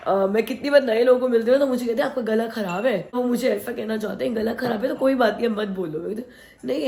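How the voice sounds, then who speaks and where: Husky, hoarse woman in an echoey room. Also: a little high, dark tone. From India. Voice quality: naturally husky voice. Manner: chatty, laughs often. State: hoarse, strained throat today. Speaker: family vlogger. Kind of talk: casual chat to camera.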